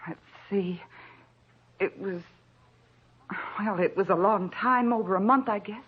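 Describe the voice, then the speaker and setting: An actress playing a frail, nervous, forgetful American housewife, hesitant and meek, in an old-time radio drama. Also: female voice, mid-range voice, a little slow, natural voice. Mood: uncertain. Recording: dull, noisy old radio recording.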